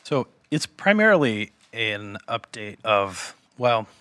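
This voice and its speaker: A man with a slightly raspy voice, presenting to a city council through a mask, hesitant with many ums and restarts. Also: lowish voice, big pitch swings, takes it slowly, pushed and bright, no echo. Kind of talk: meeting presentation. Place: council chamber. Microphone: wearing a mask.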